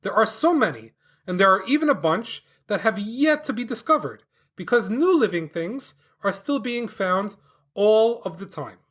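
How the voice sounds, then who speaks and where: Lively, bright-voiced male kids' podcast host. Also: high voice, moderate pace. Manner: enthusiastic, sing-song delivery. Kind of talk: upbeat educational explanation for kids.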